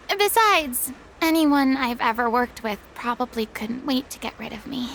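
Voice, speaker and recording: A proud, theatrical young woman, a retired stage star, with a high, bright voice. She speaks in a dramatic, grandiose way with self-pitying sighs. This is video game voice acting. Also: creaky, a little hiss or hum, full character voice, poor audio.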